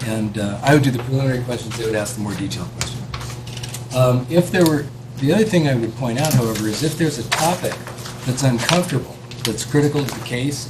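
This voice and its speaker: A man speaking as a judge on a panel, explaining courtroom practice in a measured, methodical way. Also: medium pitch, noisy background. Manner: measured, methodical, gives step-by-step advice.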